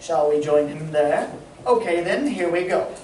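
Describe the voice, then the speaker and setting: An old-time radio straight man and announcer, acted out by a stage performer, deliberate and theatrical. The voice carries from some distance in an echoey room, with faint noise. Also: medium pitch, lively intonation, a little mellow, natural voice. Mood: grand announcing. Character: Abbott, exasperated straight man and show announcer.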